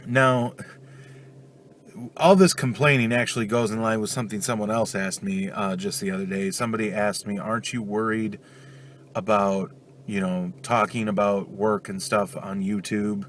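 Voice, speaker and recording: A man's voice: fairly low pitch, slight background noise. A man with a stuffed-up cold talking casually in a daily vlog, venting his frustration. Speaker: vlogger.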